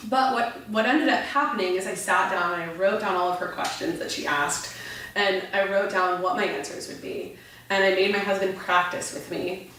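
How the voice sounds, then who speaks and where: A woman's voice: medium pitch, moderate pace, a little hiss or hum. A woman in her thirties, a beverage entrepreneur, telling stories as a guest in a casual interview, with frequent restarts. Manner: animated storyteller, frequent restarts. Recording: echoey room, mic at a distance.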